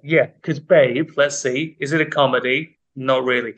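A man's voice: mid-range voice, fairly even intonation, moderate pace, fairly bright tone. A man hosting a comedy podcast quiz, teasing his co-host in casual banter. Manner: playful, teasing quizmaster.

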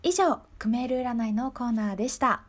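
High-voiced woman hosting a radio show. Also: a little fast. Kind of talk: radio programme presenting with scripted segments.

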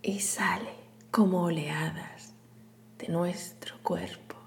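A woman leading a guided meditation in a soothing, hushed, breathy delivery with long pauses. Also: on the low side, in a whisper. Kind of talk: guided meditation, scripted narration.

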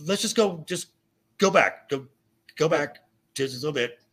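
A man with a raspy voice in a heated pop culture discussion, insistent and halting, often repeating himself. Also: on the high side, lively intonation, a bit bright. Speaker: podcast guest. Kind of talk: heated opinionated film and TV discussion.

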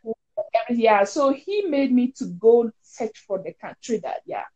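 Ghanaian woman, fairly high voice, informal conversational delivery, slightly muffled lo-fi recording. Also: lively intonation, normal speed, mellow. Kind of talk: casual chat.

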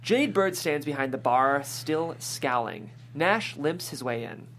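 A man narrates stage directions for a comedy script read. His fairly high voice is very animated in its rise and fall. A clear hum is audible behind him. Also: slow. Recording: clear background noise and hum.

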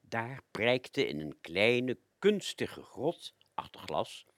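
An actor playing a male chauffeur recounting his master's tale in a radio play, with measured, expressive phrasing. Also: mid-range voice, no room sound. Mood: reverent, wry. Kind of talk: dramatic storytelling radio play.

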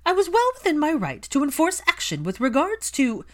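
A woman's voice: sits high, wildly swooping pitch, fairly quick, pitched above the performer's own voice. A woman voicing a proud, ailing aristocratic mother in an audiobook-style story, imperious and emphatic, with strained emotion. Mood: defensive.

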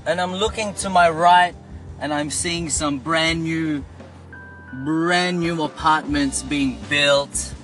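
A man's voice: fairly high pitch, steady tone, slow, a bit bright, faint music behind. A young man with a Filipino English accent telling stories in a rambling, chatty way while driving his car. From Australia. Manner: rambling, chatty, lots of "you know". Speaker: rideshare driver vlogger. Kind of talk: casual storytelling vlog. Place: in a car, stuck in traffic.

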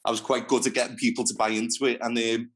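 A man's voice: mid-range voice, level tone, quick pace. Man, interview guest, talkative and rambling, mid-range voice, natural pace. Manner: chatty, rambling, restarts often. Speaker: interview guest, fitness trainer. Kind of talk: casual interview chat.

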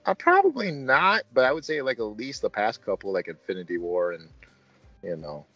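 A man's voice: mid-range voice, big pitch swings, moderate pace, a bit bright. A man hosting a casual film review chat, talking in an informal, joking way. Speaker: review show host. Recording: slightly dull, roomy sound with faint music.

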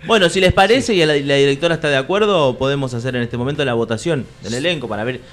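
Male comedy radio host, medium pitch. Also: quick pace, a bit bright, soft background music. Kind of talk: casual comic radio presenting.

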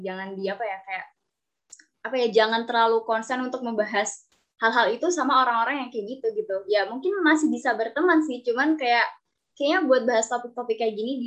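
A young adult woman hosting a casual group chat, speaking expressively and often searching for words. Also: on the high side. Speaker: discussion host.

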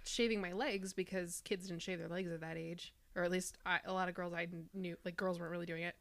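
A young woman with a naturally deep voice, co-hosting a podcast and candidly sharing personal stories in casual chat. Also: steady tone, fast, gravelly, fairly bright tone. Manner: candid, reflective storytelling. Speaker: podcast co-host.

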